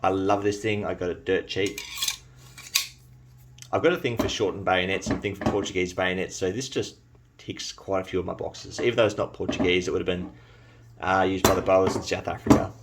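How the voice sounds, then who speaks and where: A man's voice: low-pitched, fairly even intonation, fairly quick, very noisy. A man from New Zealand with an Australian accent, chatting enthusiastically as a collector showing his collection in a casual vlog, with frequent fillers. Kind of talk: casual show-and-tell vlog.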